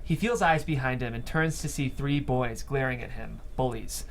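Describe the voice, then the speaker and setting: A man's voice: mid-range voice, normal speed, vocal fry, slight background noise. A man reading scripted stage directions as the narrator of a table read.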